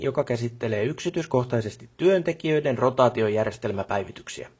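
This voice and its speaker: A pompous middle-aged male company boss from a video game. His voice is slightly rough and husky, at an ordinary male pitch. He talks in stiff, long-winded bureaucratic phrases. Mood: stiffly encouraging. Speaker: job boss character. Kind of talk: formal scripted meeting speech in a video game.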